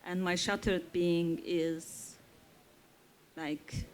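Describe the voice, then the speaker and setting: A woman from Turkey, a native Kurdish speaker, talking slowly and deliberately on a festival panel. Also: fairly low pitch, flat delivery, a little mellow. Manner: slow, deliberate speech with frequent pauses. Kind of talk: panel talk.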